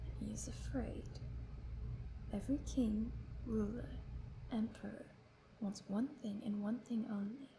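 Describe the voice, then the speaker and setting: A woman acting a captive female vampire in an intimate roleplay audio, playful, vain and menacing, teasing in a breathy, seductive murmur. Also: a little high, noisy background.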